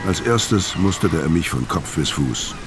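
Deep, world-weary tough guy. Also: animated, a little slow, background noise. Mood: brooding. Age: middle-aged. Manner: laconic, brooding. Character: hard, world-weary, taciturn middle-aged tough guy. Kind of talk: first-person narration in radio crime drama.

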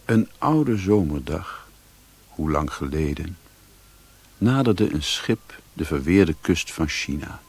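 An actor playing a solemn storyteller, narrating a literary radio drama in a deliberate manner. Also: male voice, extremely low pitch, expressive, husky, exaggerated voice. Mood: reflective.

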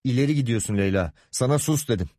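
Exasperated husband in a storybook, male narrator acting: proud, stern, short fuse, lively raised intonation. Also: mid-range voice, quick pace, no echo, studio quality, voice pushed up, lightly acted. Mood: warning. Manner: stern, warning, gritted-teeth indignation. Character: hot-tempered, proud, exasperated husband and father.

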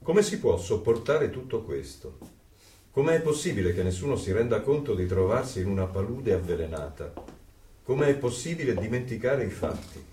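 Solemn dissident thinking aloud in radio play, lowish voice, echoey. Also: male voice, very animated, moderate pace, mellow. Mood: anguished resolve. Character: brooding, troubled male dissident thinking aloud. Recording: echoey, distant, faint noise.

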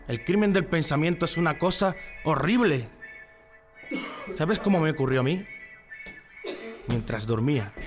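A man's voice: on the high side, fairly bright tone. A man with a Spanish accent from Spain playing a naive, loyal party man in jail, earnest and confiding, in a radio drama. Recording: music behind the voice.

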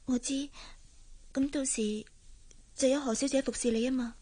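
A woman's voice: high-pitched, steady tone, normal speed, dark tone. A woman with a Hong Kong Cantonese accent playing an earnest, lovesick young maid in a radio drama, deferential and wistful.

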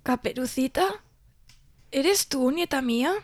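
A woman playing a frail, affectionate elderly grandmother with a creaky voice in an audio fairy tale. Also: high-pitched, animated, takes it slowly. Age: older.

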